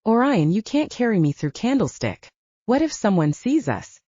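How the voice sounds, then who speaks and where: A woman's voice: on the high side, very animated, moderate pace, warm tone, no room sound, dull audio, pitched above the performer's own voice. A woman voicing a sweet, playful young woman in love in an audiobook. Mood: worried. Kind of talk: audiobook character dialogue.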